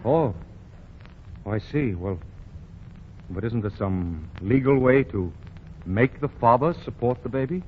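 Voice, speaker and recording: An actor playing an indignant, self-righteous department store boss who lectures emphatically in a 1940s radio comedy. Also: male voice, mid-range voice, expressive, a little slow, long pauses, a little hiss or hum, natural voice. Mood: softened, sympathetic. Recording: dull lo-fi 1940 radio recording.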